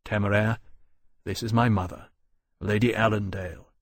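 A man voicing a proud, formal and courteous gentleman in a storybook narration. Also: on the low side, steady tone, normal speed, no room sound, studio quality, close to an everyday voice. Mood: reassuring.

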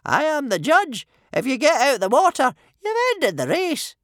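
Very high-pitched, gloomy judge. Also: moderate pace, dry recording, studio quality. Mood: calm, firm. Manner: gloomy, calm, officious. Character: gloomy, fussy, high-voiced chief judge official.

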